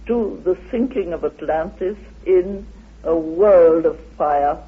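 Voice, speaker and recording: A man giving a lecture-like explanation in an interview as a researcher, with deliberate, halting, declamatory phrasing. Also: sits high, breathy edge. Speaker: interviewed researcher of ancient myths. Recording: tinny phone-like old radio recording.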